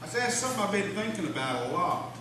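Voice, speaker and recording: Man playing Buck, high-voiced folksy straight man. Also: big pitch swings, fast. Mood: exasperated. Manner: folksy, exasperated. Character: Buck, exasperated small-town straight man. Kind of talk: comic radio-show sketch before a live audience. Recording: echoey noisy hall, distant microphone.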